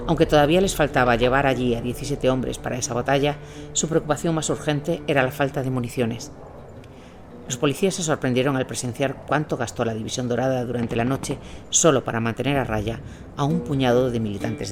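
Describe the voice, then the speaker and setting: A man's voice: medium pitch, brisk, background noise. A man reading a scripted war chronicle as the narrator of an audio story. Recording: music bed behind the voice. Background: music.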